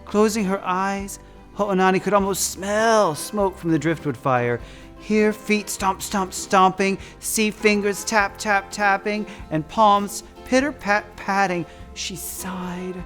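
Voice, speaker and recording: A man who performs as a drag queen storyteller, reading and chatting in a dramatic, effusive, campy way. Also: high voice. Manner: effusive, campy, often calls listeners "darlings"; dramatic, expressive delivery. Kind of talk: theatrical read-aloud of picture books with chatty asides.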